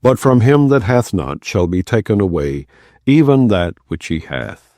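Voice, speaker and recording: A man reading scripture aloud in a measured, solemn manner. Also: lowish voice, big pitch swings, dark tone, no room sound, clean studio sound. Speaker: Bible reader.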